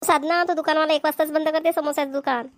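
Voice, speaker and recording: Woman playing a shrill, nosy cartoon neighbour. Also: fairly even intonation, quick pace, lightly acted. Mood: advising. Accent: Vidarbha Marathi. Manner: inquisitive, chatty. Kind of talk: amateur cartoon comedy dialogue.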